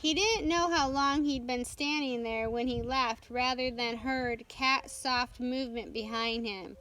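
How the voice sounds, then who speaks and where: A woman reading a storybook aloud as narrator, carefully and haltingly, with stumbles and self-corrections. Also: high-pitched, steady tone, sharp tone, slight background noise. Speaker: storytime reader.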